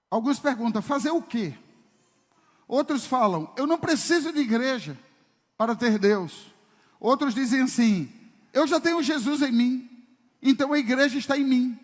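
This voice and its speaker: A man preaching a sermon as pastor, emphatic and full of rhetorical questions to the congregation. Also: very high voice. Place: church service hall. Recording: long reverb of a church hall.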